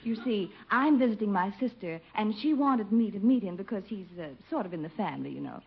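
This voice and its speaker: A woman's voice: mid-range voice, lively intonation, fairly quick, creaky, breathy edge. An actress playing a flirtatious, gushing American woman in a 1950s radio sitcom, with theatrical coquettish delivery. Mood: coy, chatty. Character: flirtatious, gushing blonde woman visiting her sister. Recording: noisy, muffled 1950 radio recording.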